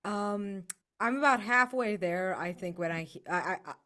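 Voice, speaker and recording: A woman playing a tabletop roleplaying game, talking casually through her character's choices with wry, halting phrasing. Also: mid-range voice, bright, forceful tone.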